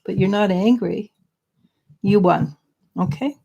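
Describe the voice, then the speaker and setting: A middle-aged woman psychic, losing her voice and clearing her throat, giving rambling spiritual advice on a live stream. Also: mid-range voice, slow, mellow, dull audio. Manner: rambling, restarting sentences. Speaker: psychic reader and show host.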